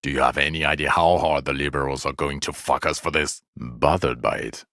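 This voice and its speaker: Jaded male detective, extremely low voice, dry studio sound, sarcastic, exasperated, foul-mouthed, video game character. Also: steady tone, brisk, bright, forceful tone, no echo, heavily acted. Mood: weary, reproachful.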